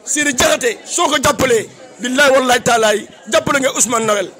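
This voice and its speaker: Very high-pitched, bright-voiced Senegalese man declaiming. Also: fairly quick, some chatter behind. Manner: declamatory, emphatic, repetitive. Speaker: political activist giving a tribute. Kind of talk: impassioned public praise speech.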